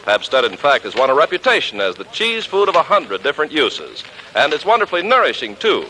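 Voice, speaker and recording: A man's voice: a little high, wildly swooping pitch, fairly quick, pushed and bright. A man with an American accent reading scripted 1940s radio announcements in a polished, emphatic way. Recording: noisy 1940s radio recording.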